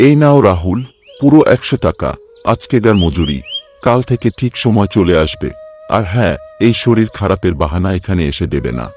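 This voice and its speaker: A man plays a tight-fisted village contractor in a cartoon tale. His voice is very low and he talks down to his workers with scornful authority. Soft music runs underneath. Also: expressive, normal speed. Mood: curt, bossy. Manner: condescending, bossy. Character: stingy, scornful male village contractor boss. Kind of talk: cartoon story voice acting.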